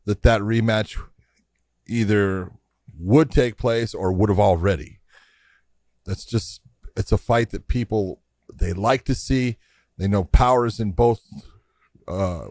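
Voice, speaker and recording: Male podcast co-host, fairly low voice, plain matter-of-fact delivery, dry sound. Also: no room sound. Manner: matter-of-fact, reasoning things through out loud. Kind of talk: casual MMA podcast chat.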